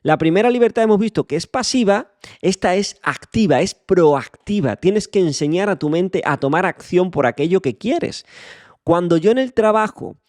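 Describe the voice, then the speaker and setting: A young podcast host from Extremadura speaks in a fairly high voice. He lectures the listener bluntly, swears freely and drags out key words for emphasis. The recording is dry and close, with no room sound. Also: expressive, normal speed, a little mellow, no room sound. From Extremadura, Spain. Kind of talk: motivational monologue.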